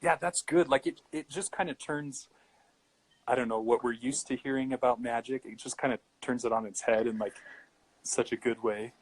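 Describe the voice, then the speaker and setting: An American man hosting a casual interview, encouraging and a little halting in his phrasing. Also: mid-range voice, fairly even intonation, breathy edge, low-quality recording. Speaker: show host, interviewer. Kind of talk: casual chat.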